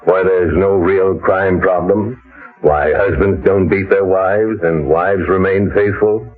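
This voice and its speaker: An actor playing a solemn, chilling older town patriarch in an old-time radio drama, measured and formal in delivery. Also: male voice, sits low, steady tone, soft background music. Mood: solemn, insistent. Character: solemn, chilling town elder, father justifying ritual sacrifice. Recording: muffled vintage radio recording.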